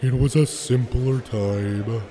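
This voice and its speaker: A man's voice: mid-range voice, steady tone, slow, dark tone, in a large hall, natural voice. A man playing a friendly, nostalgic father in an audio drama, easygoing and reminiscing. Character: friendly, nostalgic dad, former crimefighter.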